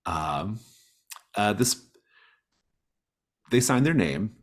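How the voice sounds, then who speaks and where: A man with a low, husky voice runs a tabletop roleplaying game. He narrates scenes off the cuff as game master and checks in with his players. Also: big pitch swings, drawn-out words.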